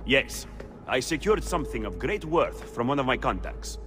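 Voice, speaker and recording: An actor playing a composed, scheming political adviser in a game drama. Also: male voice, medium pitch, very animated, normal speed, over music, natural voice. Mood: businesslike. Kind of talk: dramatic video game acting.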